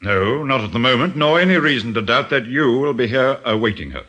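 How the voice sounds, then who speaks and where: An actor playing a coolly probing police inspector in a radio drama, formal and authoritative. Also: male voice, medium pitch, animated, a little fast, sharp tone. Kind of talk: old-time radio drama acting.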